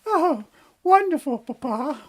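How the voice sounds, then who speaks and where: A man's voice: very high voice, big pitch swings, takes it slowly, voice pushed up, exaggerated voice. A man voicing a spoiled, gushing young princess in a comic fairy tale, with a singsong, whiny manner.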